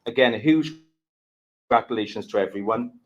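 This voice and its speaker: A man's voice: mid-range voice, a little fast, lo-fi sound. A man giving an upbeat, congratulatory opening speech as host of a graduation ceremony in an event venue. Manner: upbeat, congratulatory public address.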